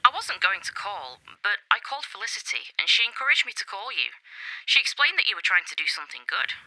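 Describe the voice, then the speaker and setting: A woman's voice: mid-range voice, big pitch swings, fast talker, bright, forceful tone, poor audio. A woman voicing a guarded, grieving widow of a murder victim in an audio drama, speaking hesitantly and sometimes almost in a whisper. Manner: guarded, hesitant, then opening up. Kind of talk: amateur audio drama acting.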